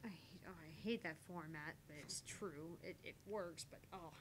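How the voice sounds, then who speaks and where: A woman from the American South bantering casually as a game streamer. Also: moderate pace. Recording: rumbly background noise.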